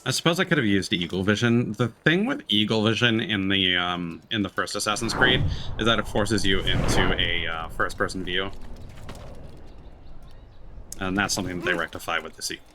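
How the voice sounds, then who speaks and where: Bright, low-pitched man streaming a game. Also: brisk, slight background noise. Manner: thinking aloud. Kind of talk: casual gameplay commentary. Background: game sound.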